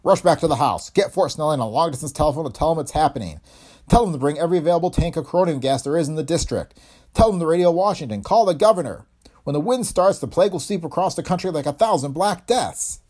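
A man's voice: fairly low pitch, sing-song, fast, a little mellow, slightly rough. A man voicing a grim, urgent professor in an audiobook, with a dramatic manner. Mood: frantic commanding. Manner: dramatic, alarmed exclamations. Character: grim, urgent male professor facing catastrophe.

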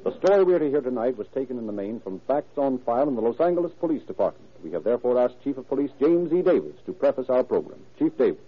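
A man's voice: mid-range voice, very animated, fast talker, hardly any pauses, breathy edge. An American man announcing and narrating in a polished, emphatic 1930s radio style. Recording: muffled vintage radio recording, music behind.

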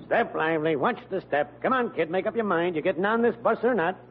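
A man's voice: high voice, brisk, lightly acted. A man playing a curt, bossy, impatient bus driver in 1940s radio comedy style. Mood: brusque, impatient. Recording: very noisy, dull old radio recording.

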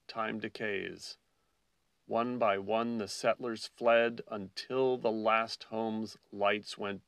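Slow, lowish-voiced man reciting poetry. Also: vocal fry, fairly bright tone, no room sound, distant mic. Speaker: podcast host and poet. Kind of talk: poetry reading.

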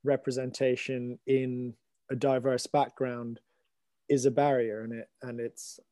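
A man's voice: mid-range voice, little pitch movement, unhurried, mellow, dry recording. A young man from Hong Kong hosting a podcast, earnest and loosely phrased. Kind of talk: casual discussion.

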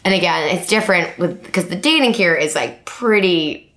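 A woman's voice: lowish voice, lively intonation, normal speed, fairly bright tone. A young woman co-hosting a casual podcast, rambling with many fillers and mocking herself. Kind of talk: casual chat.

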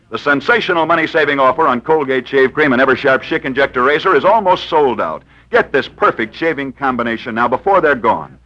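Animated, bright-voiced vintage radio storyteller, a man. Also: medium pitch. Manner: theatrical, emphatic, dramatic pauses and repetition. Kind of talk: 1940s radio dramatic scripted narration and pitch. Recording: muffled old radio broadcast, faint music.

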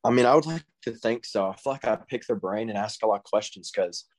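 A man's voice: on the low side, fast talker, darkish tone. A teenage boy from Texas with a Southern accent, answering interview questions in a laid-back way and saying "I mean" constantly. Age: teens. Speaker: guest, high school baseball recruit.

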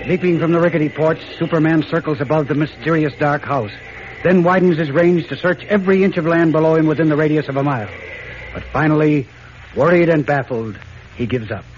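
An actor as the urgent narrator of a 1940s radio serial, delivering scripted lines in a dramatic, emphatic style. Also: male voice, fairly high pitch, fairly quick, close to an everyday voice. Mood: dramatic, suspenseful. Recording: muffled, noisy old radio recording.

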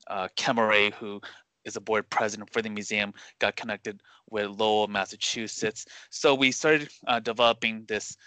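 A man hosting a conversational interview, speaking earnestly in a measured, halting way. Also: lowish voice, pushed and bright, smooth, no room sound. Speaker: podcast interviewer.

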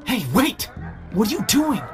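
A man's voice: very high-pitched, sing-song, measured pace, over music, full character voice. A man playing a sarcastic, defiant, foul-mouthed zombie apocalypse survivor in an audio drama. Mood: alarmed protest. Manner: sardonic, profane. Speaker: narrator and lead character. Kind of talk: first-person audio drama narration and dialogue.

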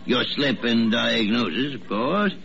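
A wisecracking old senior doctor in a classic radio play. He grumbles and teases sardonically in a sharp, forceful voice, heard on a dull old broadcast recording. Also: medium pitch, animated, a little slow. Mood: teasing. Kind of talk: 1940s radio drama acting.

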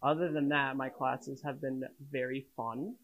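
Young male student, casual interviewee, evenly pitched delivery in a middle register. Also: little pitch movement, unhurried, a bit bright, low-quality recording. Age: young adult.

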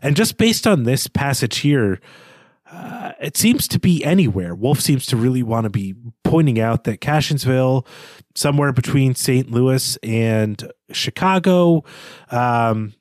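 A man's voice: mid-range voice, expressive, unhurried, a little mellow, no room sound, clean studio sound. A man co-hosting a podcast, talking through a literary analysis in a thoughtful, hesitant, conversational way. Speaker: podcast co-host.

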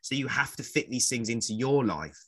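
A man's voice: mid-range voice, lively intonation, fairly quick, slightly rough. A man in his forties, a fitness trainer chatting casually and rambling, repeating words as he explains. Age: 40s. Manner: rambling, repeats words, acts out quoted voices. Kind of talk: casual podcast chat.

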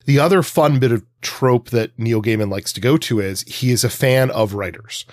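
A man's voice: medium pitch, normal speed, darkish tone, no echo. A man co-hosting a podcast in casual analytical discussion, thinking aloud and rephrasing as he goes. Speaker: podcast co-host.

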